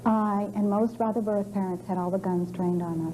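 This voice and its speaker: A woman's voice: mid-range voice, steady tone, normal speed, background noise. A woman giving earnest personal testimony as a guest in a broadcast interview. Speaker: interview guest, birth parents' advocate.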